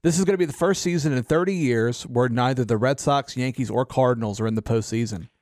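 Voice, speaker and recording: A man's voice: medium pitch, fast, a little mellow, dry recording, clean studio sound. A man co-hosting a podcast in casual, opinionated chat, speaking emphatically and repeating phrases for punch. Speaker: podcast co-host.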